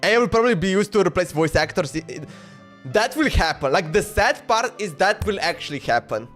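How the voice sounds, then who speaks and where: A man's voice: high-pitched, expressive, a little fast, a bit bright. A man live streaming a game with casual, rambling, informal commentary that often repeats itself. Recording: faint game music behind.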